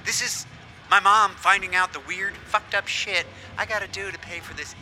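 A man's voice: high voice, fairly even intonation, normal speed, pushed and bright, slight background noise, heavily acted. An actor playing a jittery, sarcastic, foul-mouthed metalhead who lives with his mother, in an audio drama. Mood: agitated. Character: jittery, sarcastic metalhead son living with mom. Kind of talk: naturalistic audio drama acting.

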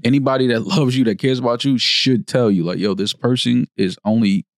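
A man of medium pitch argues his side in a casual podcast chat. He is emphatic and keeps hammering the same point. The sound is dry and close to the mic. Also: steady tone, brisk, no echo. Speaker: podcast co-host.

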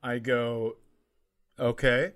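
Man, middle-aged, mid-range pitch, casual comedic storytelling, crude language, acted-out dialogue. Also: flat delivery, very slow, a bit bright, clear, resonant tone. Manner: crude, swearing, acts out dialogue. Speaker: podcast host, comedian. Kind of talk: casual comedic anecdote telling.

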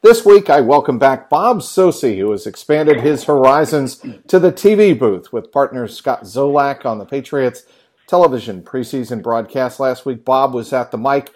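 A man's voice: mid-range voice, lively intonation, normal speed, darkish tone. Male sports podcast host. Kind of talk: upbeat sports podcast hosting.

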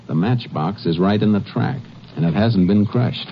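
A calm, methodical Texas Ranger acted by a man in an old western radio play, with a very low voice. He speaks in terse, measured lines. The old recording is dull and clearly noisy. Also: close to an everyday voice. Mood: intent. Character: Texas Ranger, a calm, shrewd, methodical lawman who narrates. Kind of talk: 1950s radio drama acting.

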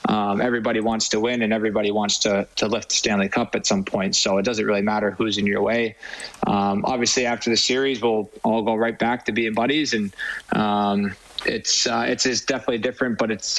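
A man's voice: fairly low pitch, a bit bright, poor audio. A man in his twenties who plays pro hockey, answering casually as an interview guest. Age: 20s.

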